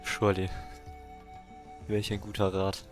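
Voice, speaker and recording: Slow-talking German man, sarcastic commentary. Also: on the low side, completely flat delivery, music in the background. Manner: sarcastic, dry asides. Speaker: game streamer. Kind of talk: casual gameplay commentary.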